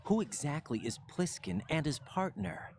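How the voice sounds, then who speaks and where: An actor playing an earnest, questioning young special-ops soldier in a video game cutscene. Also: male voice, on the high side, sing-song, normal speed, gravelly, a little mellow, a little hiss or hum. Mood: earnest, troubled.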